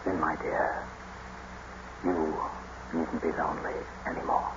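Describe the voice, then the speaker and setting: An actor playing a brooding, vengeful master of a moorland house in old radio drama style, commanding and deliberate. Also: male voice, rumbling low, little pitch movement. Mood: smooth, seductive. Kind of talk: 1940s-50s radio drama acting. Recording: muffled, noisy old radio broadcast.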